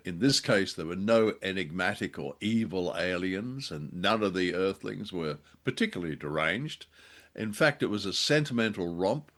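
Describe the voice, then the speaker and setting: A male podcast co-host with a low voice gives his review. He speaks conversationally, in orderly, prepared remarks. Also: fairly bright tone.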